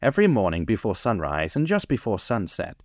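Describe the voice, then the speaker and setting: A man's voice: fairly low pitch, huge pitch swings, fairly quick, no room sound, clean studio sound, heavily acted. A man playing an earnest diarist, narrating journal entries with clipped, grave urgency in a radio drama. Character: earnest male diarist reporting a tense pursuit.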